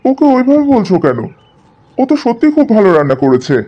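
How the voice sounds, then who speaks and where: A man's voice: sits high, sing-song, fast talker. A man voicing a kindly, reassuring middle-aged father-in-law in cartoon dialogue, on a muffled recording. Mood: reproachful. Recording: dull, muffled audio.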